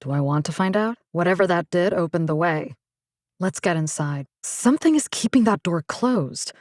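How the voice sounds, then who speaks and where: A woman's voice: on the low side, animated, moderate pace, dry recording, clean studio sound, natural voice. An actress playing a caring, tough young woman survivor in a video game, talking in a casual, wry way. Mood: wary, sardonic. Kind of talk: video game character dialogue lines.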